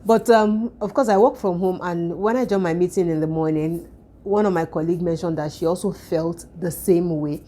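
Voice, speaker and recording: A woman vlogger with a croaky, hoarse voice, recovering from illness, chatting at home in a relaxed way with frequent fillers and restarts. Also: on the low side, moderate pace. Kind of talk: casual vlog chat.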